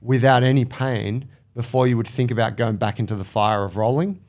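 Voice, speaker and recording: A man with a mid-pitched voice talks easygoing and chatty, peppering his advice with "you know" and the odd casual swear word. It sounds relaxed and conversational. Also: fairly quick. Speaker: podcast co-host.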